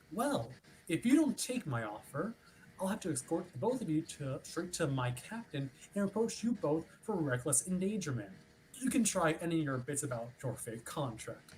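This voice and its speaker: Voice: medium pitch, very animated, normal speed, close to an everyday voice, poor audio. An actor playing an officious, politely pushy ship's watch officer in a formal, wordy script reading. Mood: smooth, threatening. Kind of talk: theatrical script reading over a livestream. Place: remote online stream.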